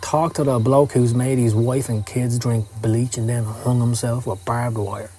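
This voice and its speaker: A man's voice: mid-range voice, mellow, a little hiss or hum, close to an everyday voice. A Scottish man playing a cynical, down-at-heel occult hustler, telling his story in a sardonic, streetwise way for a motion comic. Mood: bitter, wry. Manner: sardonic, streetwise, foul-mouthed. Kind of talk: dramatic first-person comic narration. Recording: music under the voice. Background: music.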